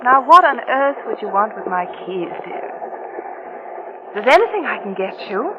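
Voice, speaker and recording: An actress playing a controlling wife in 1940s radio drama, sweetly condescending and coolly scheming behind solicitous endearments. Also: female voice, a little high, big pitch swings, moderate pace, phone-quality sound. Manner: solicitous endearments over cool, insistent control. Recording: noisy vintage 1940s radio recording.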